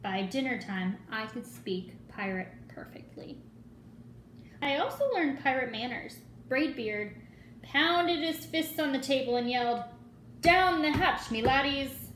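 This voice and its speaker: A woman giving an expressive dramatic reading of a children's picture book, dropping into hushed asides. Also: a little high, a little slow. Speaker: storyteller. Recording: echoey room with faint noise.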